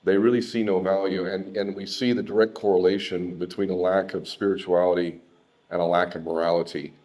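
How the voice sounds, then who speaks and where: A man with an American accent speaks at a talk about his own life. His voice sits low and moves very little in pitch. He talks haltingly and reflectively, repeating words as he finds his way. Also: flat delivery, a little mellow. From Southern California. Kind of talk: personal testimony in an unscripted talk.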